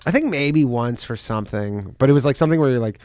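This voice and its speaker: Raspy-voiced, sick male podcast host. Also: mid-range voice, quick pace, no echo. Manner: animated, slips into bits and mock voices. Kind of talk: crude comedic banter. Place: podcast studio.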